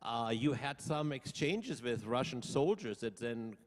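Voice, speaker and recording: A man's voice: mid-range voice, lively intonation, measured pace, big-room sound. A man interviewing a guest at church, asking leading questions and summing up her answers.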